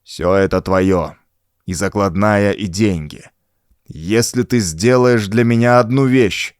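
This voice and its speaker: A man voicing a frightened, bullying old man in an audiobook, hurried, nervous and imperious. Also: lowish voice, clean tone, no room sound, clean studio sound. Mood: pushy, impatient.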